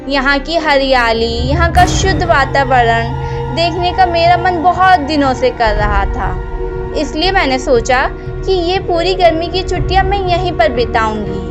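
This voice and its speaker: A woman voicing a curious, eager young niece with expressive questioning in a storybook horror tale. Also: sits high, unhurried, music in the background. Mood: eager, cheerful. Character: curious young niece visiting her village aunt. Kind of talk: animated horror storybook character voice.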